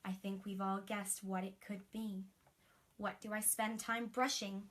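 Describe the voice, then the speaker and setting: A woman telling a bedtime story to young children as a princess, expressive, with breathy emphasis and chatty asides. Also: medium pitch, measured pace.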